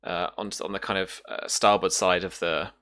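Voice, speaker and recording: A man's voice: sits low, flat delivery, moderate pace, bright, forceful tone, no room sound, clean studio sound. A man casually narrating and explaining rules as a game master, feeling his way through explanations piece by piece.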